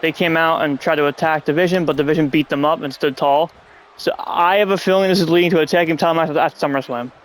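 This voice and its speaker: A man's voice: fairly high pitch, fairly even intonation, fast, a little hiss or hum. A man with a stuffy nose who is coming down with a cold, hosting a casual wrestling review chat at home in a rambling, sarcastic way. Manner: rambling, sarcastic, often annoyed. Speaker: podcast host.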